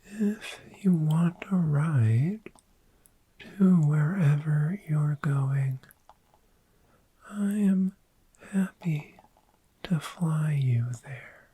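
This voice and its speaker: A performer voicing a friendly, gentle dragon in a soft-spoken ASMR roleplay, breathy and mostly whispered, soothing and lingering over words. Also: mid-range voice, slight background noise, low-quality recording. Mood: sleepy, tender. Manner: slow, soothing, lingering repetition. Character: friendly, gentle dragon host of a sleepy hoard.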